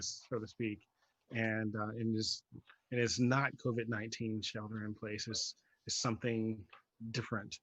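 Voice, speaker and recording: A man talking reflectively as a playwright on a panel, articulate but halting as he works through his thoughts. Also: lowish voice, fairly bright tone, no room sound, low-quality recording.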